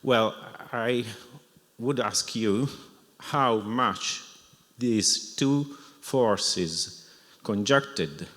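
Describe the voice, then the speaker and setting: Slow, halting man. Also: lowish voice. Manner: halting, searching for words. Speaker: audience member asking a question. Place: lecture hall. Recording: echoey lecture hall.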